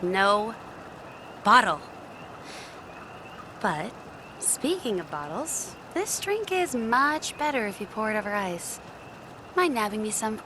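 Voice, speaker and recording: Over clear background noise comes a sassy genie from a video game. She is aloof and sardonic, brushing people off in a mid-pitched, flippant voice. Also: big pitch swings, slow, long pauses. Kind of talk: scripted video game dialogue.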